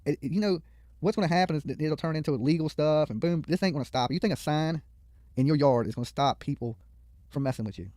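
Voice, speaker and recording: A man's voice: fairly high pitch, quick pace, darkish tone, no room sound, clean studio sound. A man hosting a livestream with casual, blunt, opinionated commentary and plenty of colloquial swearing. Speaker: YouTube livestream host. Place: studio.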